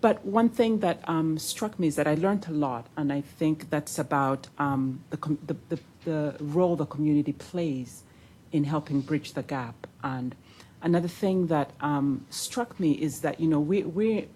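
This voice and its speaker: Woman from Uganda, low mellow voice, halting and full of fillers, in a conference room with faint noise. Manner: hesitant, many fillers and restarts. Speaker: pharmaceutical industry panelist. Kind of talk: roundtable reflections.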